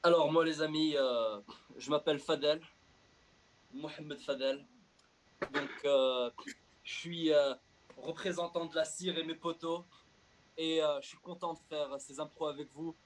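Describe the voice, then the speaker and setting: A man's voice: fairly high pitch, fairly even intonation, a little slow, pauses often, a little hiss or hum. A man from Morocco, an improv player introducing himself hesitantly with fillers. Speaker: improv player representing his troupe.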